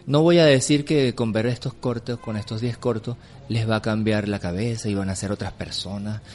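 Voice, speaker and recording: A man with a Venezuelan accent, an interview guest explaining his views emphatically and sometimes pausing to find words. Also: on the low side, fairly even intonation, a little mellow. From Caracas, Venezuela. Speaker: film festival director, interview guest. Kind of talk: spontaneous radio interview, opinionated explanation. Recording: clearly audible background noise.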